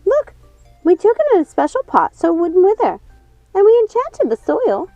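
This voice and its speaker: A frantic witch sister from a storybook, pleads in a very high, shrill voice. She wheedles and repeats herself fretfully, loud and urgent. The sound is slightly dull with music beneath. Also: wildly swooping pitch, normal speed, no room sound, heavily acted. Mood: pleading.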